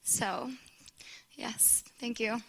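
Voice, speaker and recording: A woman who grew up bilingual in English and Spanish, introducing herself to a group in a halting, thinking-aloud way. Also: a little high, vocal fry, a bit bright, slight background noise. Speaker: panel participant introducing herself.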